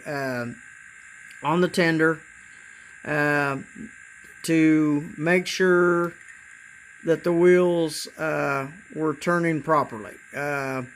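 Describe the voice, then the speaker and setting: A man with a raspy voice demonstrating his model trains in a casual, halting way, with many fillers and repeated words. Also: high-pitched, a little hiss or hum, low-quality recording. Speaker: model railroad hobbyist.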